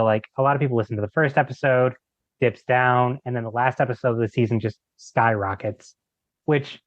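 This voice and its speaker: A man with a mid-pitched voice chats casually as a podcast guest. His talk is loose and informal, and the recording is dry and a little dull. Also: no room sound. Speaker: podcast guest, creator of an audio drama. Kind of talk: casual chat.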